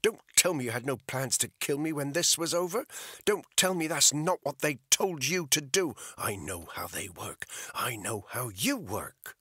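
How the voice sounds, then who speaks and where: A man's voice: on the low side, lively intonation, takes it slowly, vocal fry, few pauses, pushed and bright, husky, dry recording, studio quality, full character voice. A man voicing a volatile, dangerous woman who hisses and whispers her scorn. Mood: defiant.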